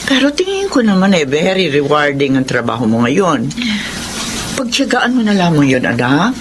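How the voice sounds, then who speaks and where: A warm-hearted mother, voiced by an actress in an audio drama, calming and encouraging her child. Her voice sits somewhat low but moves in a lively way. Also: moderate pace, noisy background. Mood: reassuring. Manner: soothing and encouraging. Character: gentle, reassuring mother.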